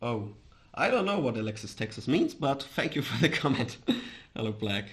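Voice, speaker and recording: A man from Austria with an Austrian accent and German as his first language, chatting casually on a livestream in a hesitant, halting way. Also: medium pitch, very animated. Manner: hesitant, halting, chuckles often. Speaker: live streamer, host.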